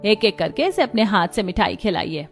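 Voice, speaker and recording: Lady as a kind middle aged mother-in-law in a cartoon, soft coaxing voice, faint music. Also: mid-range voice, very animated, moderate pace, close to an everyday voice. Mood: welcoming, gentle. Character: kindly middle-aged Indian mother-in-law, welcoming and concerned. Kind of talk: Hindi cartoon story acting.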